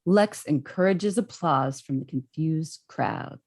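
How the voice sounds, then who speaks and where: A woman narrates a screenplay from the written stage directions. Her voice is slightly low, with a husky texture. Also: slow pace, a little mellow.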